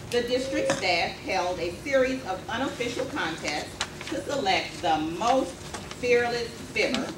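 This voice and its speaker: A woman making a formal announcement to an audience as she introduces a contest speaker. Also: medium pitch. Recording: roomy, distant mic, clearly noisy.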